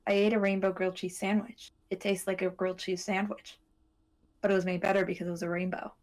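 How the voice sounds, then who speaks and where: A woman hosting a podcast, talking casually and informatively in a halting, matter-of-fact way. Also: mid-range voice, little pitch movement, a little mellow. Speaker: podcast host.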